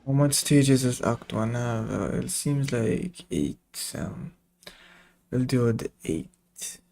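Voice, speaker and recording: A man's voice: mid-range voice, expressive, takes it slowly, gravelly, warm tone, slight background noise. A man giving casual gameplay commentary, muttering short remarks to himself as he plays. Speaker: game streamer.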